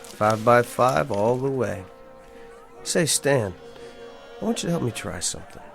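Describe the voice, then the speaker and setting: A man's voice: mid-range voice, lively intonation, unhurried, gravelly, music in the background. An actor playing a cocky, sarcastic younger wise guy who talks in wisecracking street slang in a screen drama. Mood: scheming.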